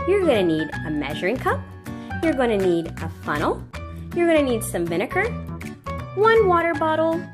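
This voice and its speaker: A woman hosting an interactive children's show, talking directly to young viewers in an exaggerated, animated manner. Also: sits high, normal speed. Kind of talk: interactive children's read-aloud and science show. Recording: echoey room with music. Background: music.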